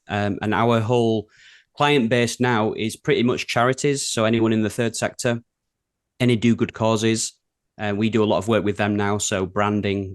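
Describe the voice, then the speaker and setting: This man speaks with a broad Yorkshire accent and a somewhat low voice. He talks as an interview guest in a relaxed, chatty way, with plenty of ums as he goes. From England. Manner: easygoing, chatty, with frequent ums. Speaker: creative director and guest. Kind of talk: casual interview conversation.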